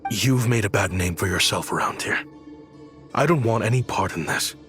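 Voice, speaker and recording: A man voicing a regretful former scholar turned desert inventor, telling his story in a measured, reflective way for a video game. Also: fairly low pitch, lively intonation, fairly bright tone. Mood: guarded. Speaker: story narrator and character. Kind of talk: scripted video game narration and dialogue. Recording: long reverb, music behind. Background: music.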